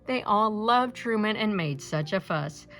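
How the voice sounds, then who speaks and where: A woman reading a children's picture book aloud with expressive, deliberate phrasing. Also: medium pitch, soft background music. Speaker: storybook reader.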